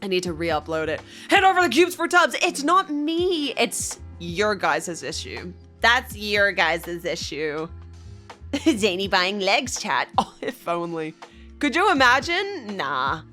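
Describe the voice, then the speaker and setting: A woman's voice: medium pitch, big pitch swings, slow, bright, forceful tone, faint music behind. Bubbly, giggly young woman streamer. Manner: bubbly, giggly, greets chat by name. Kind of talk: casual chat with live viewers. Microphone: desk mic, loud.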